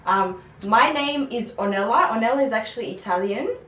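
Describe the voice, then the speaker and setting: A woman's voice: a little high, animated, normal speed, fairly bright tone. Chatty young woman in a roomy space. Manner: chatty, laughs often. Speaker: vlogger. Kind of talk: casual chat. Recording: roomy, distant mic, faint noise.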